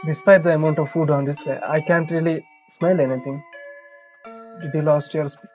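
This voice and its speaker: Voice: mid-range voice, steady tone, normal speed, highs cut off. A game streamer reading a visual novel aloud with casual side comments, stumbling now and then over the lines. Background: game music.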